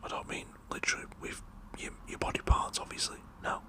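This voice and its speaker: A man's voice: brisk, bright, forceful tone. Whispering British man, blokey and rambling. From United Kingdom. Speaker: ASMR performer. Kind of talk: whispered ASMR roleplay monologue.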